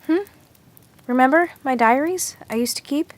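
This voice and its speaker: A woman's voice: sits high, normal speed, lightly acted. An actress playing an earnest, guarded teenage girl who confides in her diary and bristles when challenged, in a radio drama. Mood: startled, pensive. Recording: music under the dialogue.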